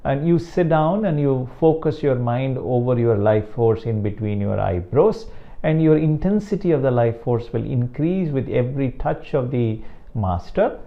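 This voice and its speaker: An older man from South India with a Tamil-accented English, a meditation teacher speaking slowly and deliberately about his guru. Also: medium pitch, expressive, vocal fry, lo-fi sound. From Chennai, South India. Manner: slow, deliberate, emphatic on key words. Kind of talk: reflective talk to camera.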